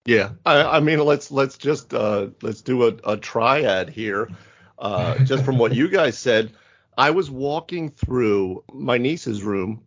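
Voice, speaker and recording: A man co-hosting a gaming podcast, talking casually and thinking aloud in an animated, conversational way. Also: mid-range voice, takes it slowly, muffled recording. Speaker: podcast co-host. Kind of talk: casual round-table chat about tabletop gaming.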